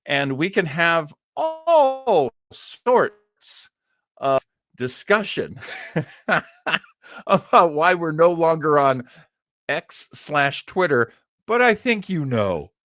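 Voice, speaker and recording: An American man hosts a casual livestream in a chatty, effusive manner. His pitch swings freely up and down as he rambles along, and the sound is close and dry. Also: very animated, slow, a bit bright, no echo. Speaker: livestream host. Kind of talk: casual livestream chat with viewers.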